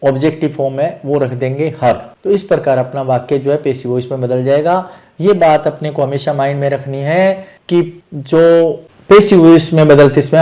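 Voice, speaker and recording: Higher-voiced Indian man teaching methodically. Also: darkish tone. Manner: methodical, instructional, repeats rules step by step. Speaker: English grammar teacher. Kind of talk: explanatory grammar lesson.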